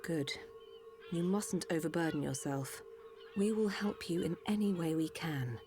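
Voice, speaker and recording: Composed engineer, lowish voice. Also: female voice, measured pace, a little mellow, soft background music. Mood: caring. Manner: reassuring, composed. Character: kind, calm, capable female engineer of machine people. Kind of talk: video game cutscene acting.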